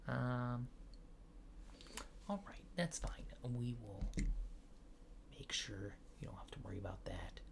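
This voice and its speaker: A man speaks very slowly in a soft, gravelly ASMR murmur that slips into whispering. He plays a mild alien space agent, hesitant and casual, trailing off as he goes. Clear noise sits behind the close recording. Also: mid-range voice, lively intonation. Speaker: ASMR creator playing an alien abductor. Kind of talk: ASMR roleplay, softly spoken and whispered.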